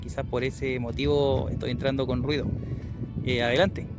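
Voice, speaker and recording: A man's voice: medium pitch, flat delivery, normal speed, loud music behind the voice. A man with a Chilean Spanish accent talking casually as an amateur radio operator doing a field test outdoors. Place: outdoors on a hilltop.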